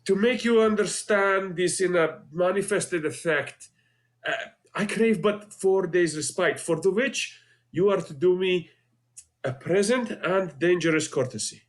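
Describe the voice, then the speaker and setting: A man with an Egyptian accent playing a cunning, commanding disguised duke in a Shakespeare scene rehearsal, delivering verse deliberately. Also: high voice.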